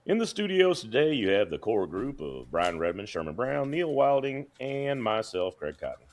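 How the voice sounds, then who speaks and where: Deep-voiced guy running a podcast, real lively, folksy and jokey. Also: normal speed. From Kentucky. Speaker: podcast host. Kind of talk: casual chat. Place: podcast studio.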